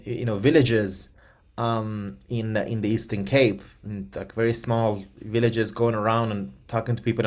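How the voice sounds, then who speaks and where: A man from Iran with a fairly low voice, speaking as a guest expert. His first language is Persian, and he hesitates often, with many ums and restarted phrases. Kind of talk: explanatory interview answers.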